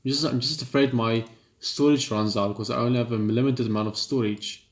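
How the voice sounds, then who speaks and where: A man's voice: mid-range voice, steady tone, brisk. A man hosting a let's play, reading game text aloud with casual asides and stumbling over the odd word. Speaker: gaming video host. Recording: echoey room, game music behind.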